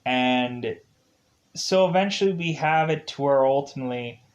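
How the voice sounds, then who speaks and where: A man reviewing episodes in a casual, rambling way, halting often and circling back over his phrases. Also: medium pitch, dull audio.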